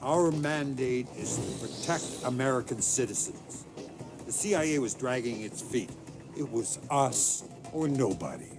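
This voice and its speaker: An actor playing a hardened, grieving middle-aged undercover spy who speaks in a terse, guarded way in a game cutscene. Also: male voice, medium pitch, animated, measured pace, music in the background. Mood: defensive. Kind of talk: game cutscene dialogue and narration.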